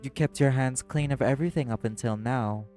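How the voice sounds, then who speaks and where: An audio-drama socialite of the criminal underworld, acted by a man, apathetic and guarded. The voice sits at a medium male pitch and moves deliberately, in measured phrases. Music plays clearly behind it. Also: mellow, lightly acted. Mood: tense, probing. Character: apathetic socialite crime-club lieutenant, guarded and questioning. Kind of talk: dramatic audio roleplay acting.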